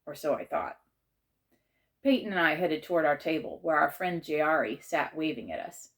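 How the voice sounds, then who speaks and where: A woman reading a children's chapter book aloud in measured, expressive narration. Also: low voice.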